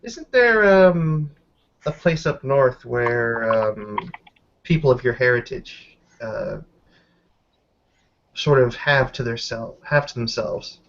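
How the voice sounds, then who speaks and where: A man's voice: medium pitch, animated, takes it slowly. A man playing a jovial, wry woman friend in a tabletop game, with hesitant, encouraging speech on a dull recording. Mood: hesitant, tactful. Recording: dull lo-fi mic with background noise.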